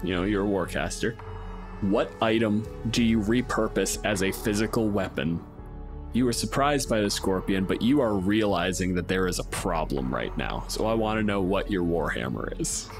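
A man's voice: low-pitched, animated, moderate pace, music in the background. A man narrating a tabletop game as game master in a conversational, descriptive storytelling way.

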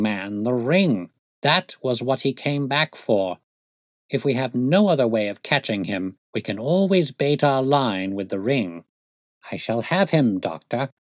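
A man voicing a brilliant, impatient gentleman detective in an audiobook story, speaking in a brisk, commanding, articulate way. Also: mid-range voice, no room sound, clean studio sound, pitched above the performer's own voice.

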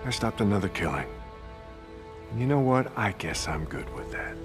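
An actor playing an earnest, principled and weary camp leader in a dramatic game scene, with a folksy, emphatic delivery. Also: male voice, fairly low pitch, very animated, normal speed, music in the background. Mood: resolute. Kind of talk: dramatic video game acting.

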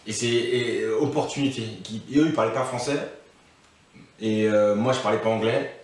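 A man's voice: fairly low pitch, steady tone, normal speed. Man giving advice, echoey distant room. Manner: thoughtful, many restarts and fillers. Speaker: interview guest. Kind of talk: casual advice interview. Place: living room, on a couch. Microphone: far camera mic.

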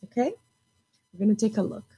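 A woman in her thirties hosting a children's storytime, reading expressively in simple, deliberate phrases. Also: mid-range voice, expressive, warm tone, husky, highs cut off.

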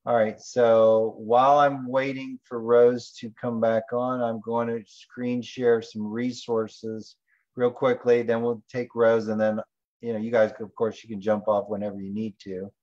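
A man's voice: mid-range voice, little pitch movement, unhurried, darkish tone. A man hosting an online training call, talking through a screen share in an informal, rambling way as he thinks aloud, with lo-fi call audio. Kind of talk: casual screen-share presentation.

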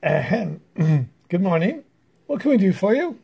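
A man's voice: high voice, big pitch swings, measured pace, creaky, dark tone, breathy edge, voice pushed up, exaggerated voice. A man voicing a commanding, earnest chief frog in a storybook, formal and emphatic. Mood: formal greeting.

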